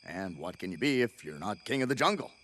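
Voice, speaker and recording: A man's voice: sits low, sing-song, fast talker, fairly bright tone, slight background noise. A man voicing a stern, admonishing jungle animal friend in a cartoon.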